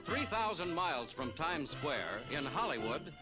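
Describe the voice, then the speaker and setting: Lively, high-pitched American male newsreel announcer. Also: measured pace, over loud music. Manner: declamatory, formal announcer delivery. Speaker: newsreel narrator. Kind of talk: 1940s newsreel scripted narration. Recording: vintage 1940s newsreel soundtrack.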